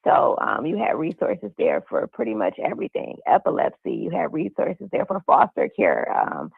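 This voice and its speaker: A hoarse woman, a police community liaison, speaking earnestly and upbeat with many fillers over the phone. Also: on the low side, huge pitch swings, normal speed, no echo. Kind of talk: phone-in interview. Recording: tinny phone line.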